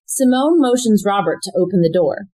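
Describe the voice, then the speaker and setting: A woman's voice: mid-range voice, lively intonation, a little fast, mellow. A woman reading scripted stage directions as narrator of an audio play. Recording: faint background noise.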